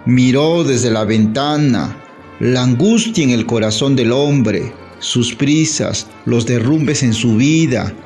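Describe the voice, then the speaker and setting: A Zapotec-speaking man from Oaxaca, reciting his own poetry in a measured, solemn way with long pauses. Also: medium pitch, a little mellow, soft background music. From Sierra Sur of Oaxaca, Mexico. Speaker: poet.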